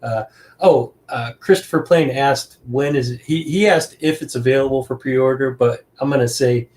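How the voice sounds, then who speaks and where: A man hosts a podcast in a relaxed, easygoing way. He thanks his viewers and often calls his guest "man". His voice sits at an ordinary pitch. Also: moderate pace. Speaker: podcast host. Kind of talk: casual interview chat.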